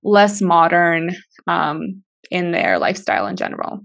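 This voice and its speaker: A woman's voice: fairly low pitch, sing-song, measured pace, creaky, a bit bright. A young woman whose first language is Russian, earnestly telling her story as a guest on a video call interview.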